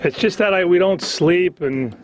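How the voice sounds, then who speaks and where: A man's voice: high voice, moderate pace, music in the background. A man doing a conversational observational comedy monologue, complaining in a neurotic, exasperated way. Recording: slightly dull lo-fi cartoon soundtrack.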